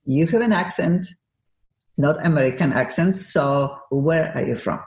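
A woman's voice: deep voice, a little slow, darkish tone, echoey room. A woman from Poland with a Polish accent hosting a radio interview, talking effusively with some broken English. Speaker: radio show host. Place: radio studio.

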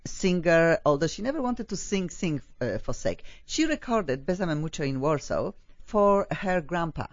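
A woman's voice: lowish voice, sing-song, moderate pace, no room sound. A woman hosting a talk show interview, gushing and enthusiastic, with many fillers. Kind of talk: effusive interview chat. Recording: dull lo-fi, dry.

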